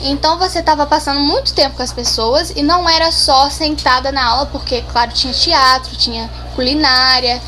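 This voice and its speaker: A woman's voice: high voice, fairly quick, hardly any pauses, bright, forceful tone, a little hiss or hum. A seventeen-year-old girl from Brazil, answering interview questions casually, shy and hesitant with many restarts. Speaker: interview guest.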